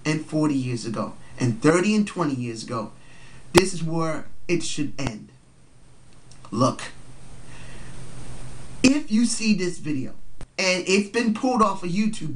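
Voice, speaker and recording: A man's voice: high-pitched, fairly bright tone, poor audio. Congested man ranting to his webcam. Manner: earnest, repeats points for emphasis. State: congested from a cold. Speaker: vlogger. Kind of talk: opinion commentary to webcam. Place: small cluttered bedroom indoors. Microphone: built-in webcam mic.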